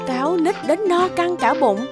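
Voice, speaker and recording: A woman's voice: sits high, animated, moderate pace, music in the background. A woman storyteller reading scripted fairy-tale narration for children.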